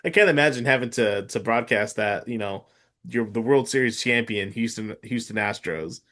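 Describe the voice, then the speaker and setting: A man's voice: medium pitch, moderate pace, fairly bright tone. A man hosting a sports podcast, chatting casually and agreeing with his guest. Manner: supportive, agreeable, short affirmations. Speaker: podcast host.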